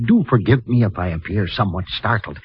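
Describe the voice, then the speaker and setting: Sweet old priest, really husky, bit flustered n polite, old muffled radio show. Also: male voice, on the low side, animated, moderate pace. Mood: startled, courteous. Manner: courteous, hesitant, chuckling. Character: gentle, flustered, kindly old Franciscan priest. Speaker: actor in a radio drama. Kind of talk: 1950s radio acting.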